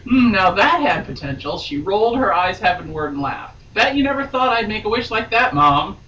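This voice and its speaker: A woman's voice: mid-range voice, big pitch swings, normal speed, a bit bright, muffled recording. A woman giving a theatrical, mock-serious dramatic reading of a romance novel as a guest reader. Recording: echoey room, distant mic, faint noise.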